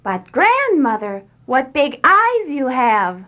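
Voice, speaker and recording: A woman's voice: sits high, very animated, takes it slowly, pitched above the performer's own voice, heavily acted. A woman reading a storybook aloud, voicing an innocent, trusting little girl who speaks politely and naively. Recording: dull lo-fi storybook recording.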